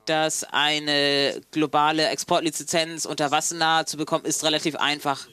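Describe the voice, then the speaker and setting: A man's voice: fairly high pitch, little pitch movement, moderate pace, noisy background. A man interpreting a conference panel live, in hesitant broken phrases with frequent self-corrections. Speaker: simultaneous interpreter.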